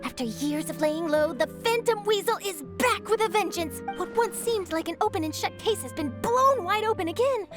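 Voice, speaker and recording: An eager young game-character reporter with a very high, lively voice. She is theatrical and excitable, built for chasing scoops. Faint music plays behind her. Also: moderate pace, few pauses. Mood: dramatic, earnest. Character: eager, dramatic young female news reporter hungry for scoops. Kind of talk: video game voice acting.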